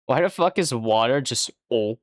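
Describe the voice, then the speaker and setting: A native French speaker streaming casually, rambling and swearing with jokey outbursts that jump up in pitch. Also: expressive, normal speed, dry recording, studio quality. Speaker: livestreamer. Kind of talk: casual livestream chat.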